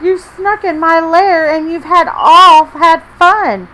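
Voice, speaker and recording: A woman voicing a grumpy, sleepy big male bear in a storybook read-aloud, talking loudly and slowly in a whiny sing-song. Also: very high voice, steady tone, pitched above the performer's own voice, exaggerated voice, poor audio. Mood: aggrieved.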